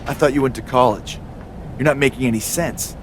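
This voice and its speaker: A man's voice: medium pitch, fairly quick, a little hiss or hum, lightly acted. A man playing a cocky, self-entitled pro wrestler in a video game cutscene, with a smug, sarcastic manner. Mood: sarcastic, mocking.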